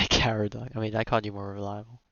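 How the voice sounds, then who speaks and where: A man with a lowish, fairly flat voice chats casually as he plays a video game on stream. He thinks out loud and mutters asides as he goes. The sound is close and dry, through a slightly dull mic. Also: fast talker, no room sound. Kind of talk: casual game commentary, reading dialogue aloud.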